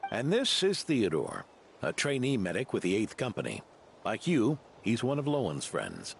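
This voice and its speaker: A man playing a seasoned, genial old knight in a video game, with a gravelly voice and measured, dignified delivery. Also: medium pitch, wildly swooping pitch, a little hiss or hum. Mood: genial, courteous. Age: older. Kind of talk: video game character acting.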